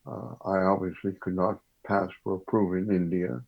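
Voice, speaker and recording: An older man with a Cuban accent, co-hosting a podcast interview in a measured, hesitant way. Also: low-pitched, little pitch movement, creaky. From Cuba. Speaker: co-host. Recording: dull lo-fi call audio.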